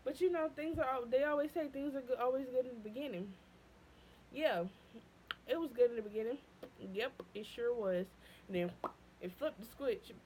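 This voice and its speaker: Young woman vlogger, candid storytelling, highish voice, faint hum behind. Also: moderate pace, long pauses, rough recording. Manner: animated, voice leaping high when quoting her past self. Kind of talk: casual storytelling.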